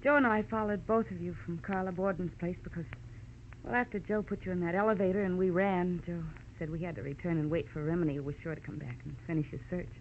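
An actress playing an earnest, emotional young American wife with a defiant streak in an old-time radio drama. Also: female voice, lowish voice, steady tone, fast, lightly acted. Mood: contrite. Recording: muffled, noisy old-time radio recording.

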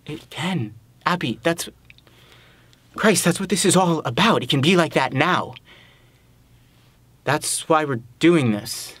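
A man acting a dramatic monologue as a desperate, self-loathing adulterer nearing middle age, halting and repeating himself, swearing and pleading. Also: on the high side, expressive, fairly bright tone, breathy edge, no echo, heavily acted. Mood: agitated, frustrated. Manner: halting, repetitive, swearing, emphatic.

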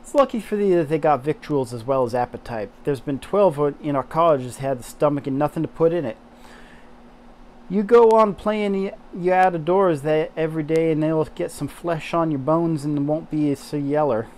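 A man voicing a chatty young housemaid in an attempted Yorkshire accent that drifts toward American Southern, broad, loud and hearty. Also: on the high side, normal speed, a little hiss or hum, natural voice.

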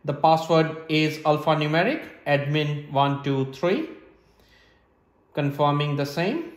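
Guy in his 30s doing a step by step setup tutorial, bit high voice, really roomy sound. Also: lively intonation, takes it slowly. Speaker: presenter of a tech setup tutorial. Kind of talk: step-by-step instructional narration. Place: small hard-floored room indoors. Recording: roomy, echoing indoor sound.

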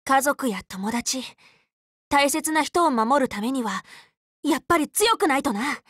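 Anime game role: teenage magical girl, loyal tomboy leader, bright forceful tone, very lively intonation, dry close studio sound, frank and casual. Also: sits high, measured pace, dry recording. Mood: determined. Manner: casual, boyish, spirited, frank speech. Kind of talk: anime game character voice lines.

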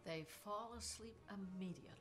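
An older woman playing a grandmother in a scripted comedy sketch, speaking calmly and matter-of-factly. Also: mid-range voice, brisk, music in the background.

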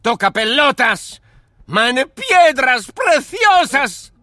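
A theatrical Spanish gangster for a children's audio play. His voice is very high and sharp, with a Spanish accent. He stretches his words slowly and swoops about, full of indignant bluster. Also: very animated, no room sound, heavily acted. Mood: menacing. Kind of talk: children's audio drama acting.

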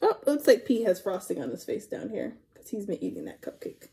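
A woman's voice: medium pitch, huge pitch swings, brisk, vocal fry, dark tone, breathy edge. A woman in her thirties reading a picture book aloud to young children, expressively, with questions and counting along. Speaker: storytime reader.

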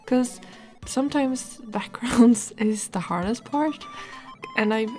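A woman's voice: medium pitch, a little mellow. A woman giving a casual, rambling voice-over about her drawing, speaking hesitantly as an illustrator hosting her own video.

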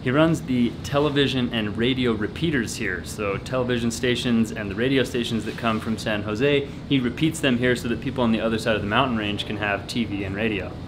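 A man's voice: medium pitch, fairly quick, few pauses, background noise. A young man chatting upbeat to a handheld camera on a jungle trip. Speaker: vlogger. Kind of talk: casual travel vlog chat. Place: outdoors in a rainy jungle and inside a tent. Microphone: handheld camera at arm's length.

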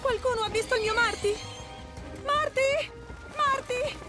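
A woman voicing an anxious, pleading mother forest animal in an animated cartoon dub. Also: very high voice, measured pace. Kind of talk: Italian cartoon dubbing. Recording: loud cartoon soundtrack music underneath.

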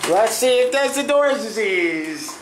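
Man, casual offhand narration, slightly high voice, echoey store, constant background hum. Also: measured pace. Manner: offhand, matter-of-fact commentary. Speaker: elevator video host. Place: elevator in a department store. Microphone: handheld camera mic. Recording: echoey store with steady ambient noise.

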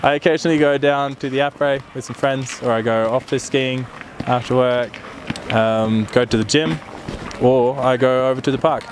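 A man's voice: mid-range voice, fairly even intonation, moderate pace, background noise. A man in his twenties from Australia, a ski instructor, talking casually about his working life in an interview. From grew up in Australia. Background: music.

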